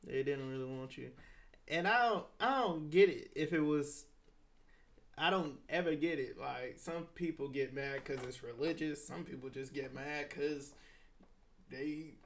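A man hosting a podcast in casual, animated chat, swearing freely and laughing often. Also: fairly high pitch, unhurried. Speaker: podcast host.

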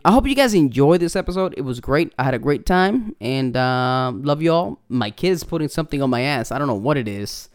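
A man's voice: medium pitch, expressive, brisk, hardly any pauses, clear, resonant tone. A young man with a Puerto Rican accent hosting a casual podcast, rambling and profane, with many fillers. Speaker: podcast host.

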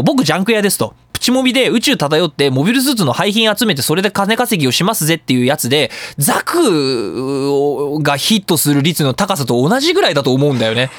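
A man hosting a radio show in a casual solo monologue, ranting in an animated way with theatrical emphasis. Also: high-pitched, no room sound.

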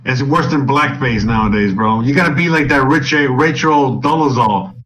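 A man's voice: medium pitch, moderate pace, a bit bright, distant mic. A man co-hosting a sports betting podcast in casual chat, loose and swearing, calling everyone bro. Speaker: podcast co-host. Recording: echoey room, off the mic, faint noise.

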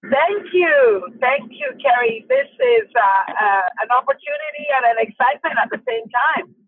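A woman from Ghana, telling her story as an animated interview guest, her pitch jumping high often. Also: normal speed, breathy edge, dry recording. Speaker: guest, fitness founder and dance instructor. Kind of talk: casual interview chat. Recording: tinny phone line, dry.

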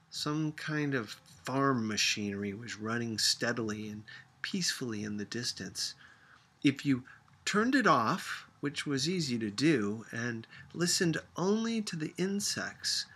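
Male audiobook narrator, slow delivery, bright forward tone, deliberate phrasing, literary prose. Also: medium pitch, husky. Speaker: audiobook reader.

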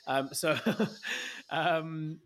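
A man's voice: a little high, little pitch movement, very slow, fairly bright tone. A man from Egypt hosting a podcast interview over a video call, chatty and hesitant, with frequent restarts and fillers. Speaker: podcast host. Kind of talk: casual interview chat.

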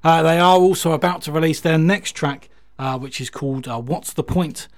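A man presents a radio music show in a fairly high-set voice. He chats casually and enthusiastically, rambling freely as he introduces the tracks. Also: moderate pace.

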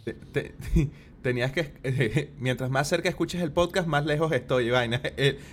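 A man's voice: mid-range voice, measured pace. A man, co-hosting a casual podcast chat, joking and laughing often. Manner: laughs often, jokey, bursts into loud playful exclamations. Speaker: podcast co-host.

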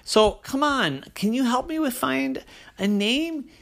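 A man doing a goofy, excitable cloud dragon. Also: extremely high pitch, slow pace, noisy background, pitched above the performer's own voice, full character voice. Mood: excited. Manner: eager, rambling, stumbling over words.

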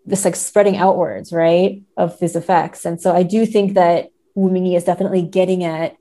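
A woman's voice: lowish voice, steady tone, normal speed, warm tone. A woman in a conversational literary discussion, speaking thoughtfully as a podcast guest and often checking in with tag questions.

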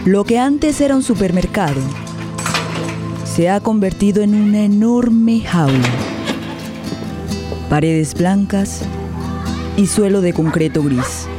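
A grave narrator of a tragic radio play. Her voice is a little low, solemn and measured, and music plays clearly underneath as she describes each scene.

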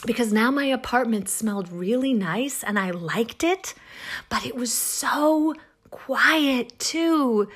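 An actress playing an exasperated, confiding woman in a comic first-person story monologue, with wry emphasis and dramatic pauses. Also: a little high, lively intonation, fairly bright tone, heavily acted. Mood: wistful, sad. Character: exasperated, wryly confiding woman plagued by monsters and heartbreak. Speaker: actress reading a short story.

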